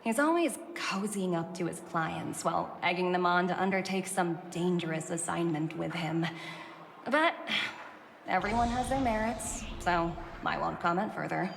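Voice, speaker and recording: A woman voicing a poised, brisk young corporate executive in a video game, composed and businesslike. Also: lowish voice, gravelly, slight background noise. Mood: measured. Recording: background music under the voice.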